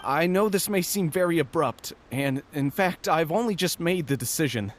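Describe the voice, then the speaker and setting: An actor playing a nervous, deferential young soldier in a video game, stammering and apologetic. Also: male voice, fairly high pitch, animated, moderate pace, natural voice.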